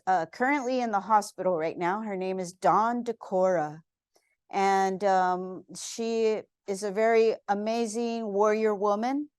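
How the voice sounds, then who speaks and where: A woman in her fifties from Texas giving an earnest, heartfelt museum lecture, pausing often with fillers as she speaks. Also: mid-range voice, darkish tone, smooth, dry recording, studio quality. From San Antonio, Texas. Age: 50s.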